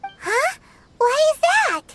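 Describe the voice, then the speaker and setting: A woman's voice: very high-pitched, big pitch swings, takes it slowly, heavy background noise, heavily acted. A woman voicing a tiny, cheerful female fairy companion with a bubbly, inquisitive manner for a video game. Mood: curious. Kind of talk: video game character acting.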